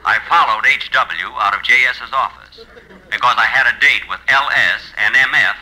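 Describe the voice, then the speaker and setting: A man playing a screenwriter who narrates his own story in deadpan, measured comic style, on a vintage radio recording. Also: medium pitch, low-quality recording. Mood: wry, deadpan.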